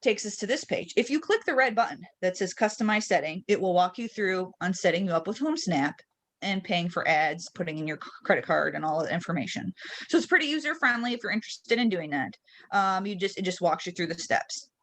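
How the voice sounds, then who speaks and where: A woman with a slightly low voice is leading an online training webinar. She talks conversationally through software settings step by step, often putting questions to her listeners as she explains. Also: lively intonation, a little fast. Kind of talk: informal screen-share tutorial.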